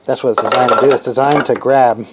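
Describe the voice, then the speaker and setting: A man's voice: medium pitch, steady tone. Man thinking aloud over noisy workbench clatter. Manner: halting, thinking aloud while working with hands. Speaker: hobbyist radio builder demonstrating a build. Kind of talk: casual unscripted how-to narration.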